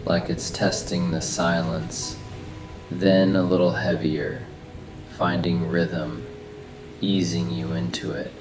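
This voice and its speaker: A man narrating a guided sleep meditation in a soft, soothing, unhurried manner. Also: low-pitched. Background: steady rain sounds and soft background music.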